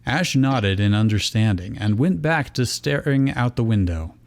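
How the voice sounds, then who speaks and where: A man's voice: medium pitch, lively intonation, moderate pace. A man reading fan fiction aloud as narrator, in scripted storytelling style. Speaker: reader and narrator.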